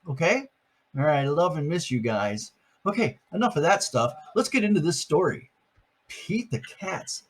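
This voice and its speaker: A man's voice: high-pitched, husky. An older man, a grandfather, reading a picture book aloud on video to his grandkids, playful and chatty, asking them questions as he goes.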